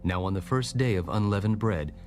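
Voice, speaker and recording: A man narrating a dramatized audio Bible as a solemn storyteller, formal and measured. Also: lowish voice, expressive, close to an everyday voice. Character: solemn male scripture narrator. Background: clear background music.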